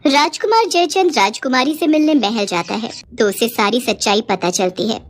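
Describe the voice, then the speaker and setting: A woman's voice: on the high side, normal speed. A woman reading a scripted children's moral story as narrator.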